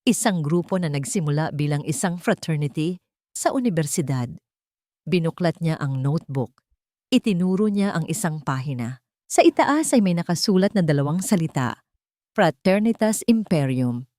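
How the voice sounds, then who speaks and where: A woman's voice: low voice, lively intonation, normal speed, warm tone, dry recording, clean studio sound. A woman with a Filipino accent narrating a scripted dramatic story.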